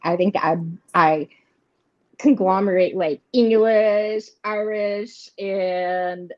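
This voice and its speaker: A woman's voice: mid-range voice, fairly even intonation, slow pace. A woman, chatting casually as co-host of a live tarot stream, laughing often and saying "y'all". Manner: chatty, giggly, Southern "y'all". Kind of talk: casual livestream chat. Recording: dull lo-fi stream audio.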